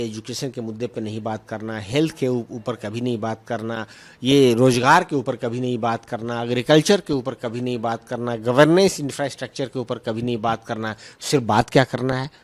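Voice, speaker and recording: A man speaking as a party spokesman, answering questions argumentatively with emphatic repetition and English terms mixed in. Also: medium pitch. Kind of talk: political press remarks, answering questions.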